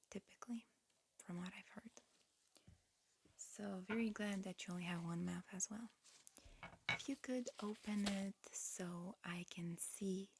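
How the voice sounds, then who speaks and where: A woman whispering a gentle, breathy ASMR roleplay as a medical examiner, murmuring unhurriedly with pauses. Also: fairly low pitch.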